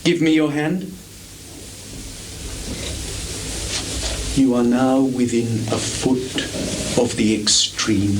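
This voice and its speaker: Anxious disguised guide, Shakespearean stage drama, slow deliberate delivery, tender, hissy live theatre recording. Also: male voice, mid-range voice. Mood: coaxing, urgent. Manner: theatrical, deliberate, vividly descriptive. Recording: noisy 1980s live theatre recording, steady hiss.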